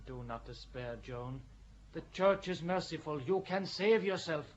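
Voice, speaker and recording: A man's voice: on the high side, animated, measured pace, muffled recording. A man playing an earnest, pitying churchman in an audio drama, speaking in a solemn, persuasive manner. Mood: urgent pleading. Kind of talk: audio drama acting of a stage play.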